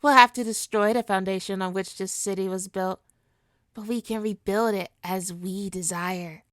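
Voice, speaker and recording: A woman playing a suave supervillain hypnotist in an ASMR roleplay, coaxing and possessive, with a soothing, deliberate, mock-polite manner. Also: medium pitch, steady tone, fairly bright tone, no room sound, studio quality, close to an everyday voice. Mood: seductive, coaxing.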